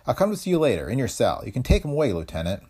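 A man's voice: mid-range voice, sing-song, very fast, a little mellow, husky, voice pushed up. A man voicing a young male attorney who talks in a blunt, dry way. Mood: reluctant.

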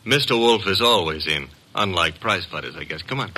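An actor in a classic radio drama playing a brash, wisecracking young detective's assistant, breezy, sardonic and slangy. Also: male voice, low-pitched, lively intonation, fairly quick. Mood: brisk. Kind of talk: 1940s-50s radio drama acting. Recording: noisy vintage radio recording.

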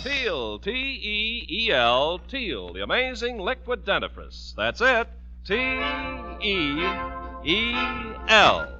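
A man's voice: high voice, very animated, slow, sharp tone. A man with an American accent announcing an old-time radio show in a polished, emphatic, scripted style. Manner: polished, emphatic, spells out brand name. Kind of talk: 1940s radio announcing, sponsor plug and scripted narration. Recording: muffled vintage radio broadcast. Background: music.

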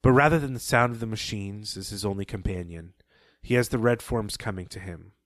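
A man co-hosting a podcast, retelling the plot of a story plainly with quick asides. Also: lowish voice, no room sound, studio quality. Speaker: podcast co-host. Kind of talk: recapping a story.

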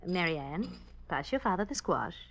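A woman's voice: on the low side, big pitch swings, normal speed, loud music behind the voice. A woman acting a devoted, genteel wife in an old-time radio drama, sweet and proper. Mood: fond, dutiful. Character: devoted, dutiful, genteel wife at family dinner. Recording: dull old radio recording, faint noise.

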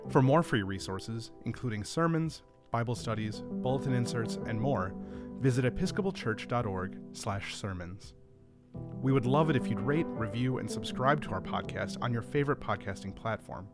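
A man gives a quick, upbeat podcast sign-off. His voice sits at a middle pitch. Loud guitar music plays under him throughout. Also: lively intonation. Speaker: podcast announcer. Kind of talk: scripted podcast outro.

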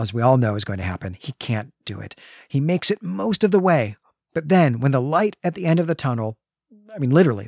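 A man's voice: medium pitch, no room sound, clean studio sound. A man co-hosting a podcast, recapping and discussing a story with emphatic repetition. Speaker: podcast co-host.

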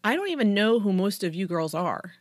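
A woman's voice: medium pitch, very animated, fairly quick, no echo, clean studio sound. A woman voicing a stern, impatient young male teacher in an audiobook, formal and clipped. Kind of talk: audiobook character dialogue.

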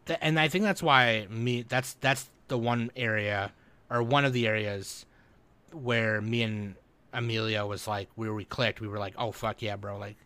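Bright, forceful low-voiced man chatting. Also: fairly even intonation, moderate pace, smooth, no room sound. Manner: profane slang, bro-heavy talk. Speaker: podcast host. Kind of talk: casual chat.

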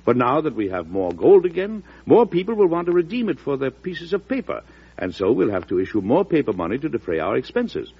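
Resolute President, husky, swinging oratorical delivery, classic radio drama on a muffled old recording. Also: male voice, medium pitch, very animated, brisk. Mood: grave, concerned. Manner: emphatic, oratorical. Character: resolute, worried U.S. President, weighty and principled.

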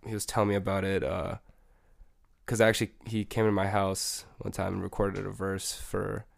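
A man's voice: low voice, little pitch movement, moderate pace, gravelly, no room sound. A young man, a music producer, chatting casually as an interview guest with a laid-back manner and many fillers. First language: Spanish.

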